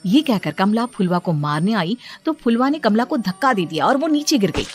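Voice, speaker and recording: A woman narrates a cartoon moral tale as storyteller. Her voice sits at a middle pitch, with light music running underneath the scripted reading. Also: very animated, normal speed.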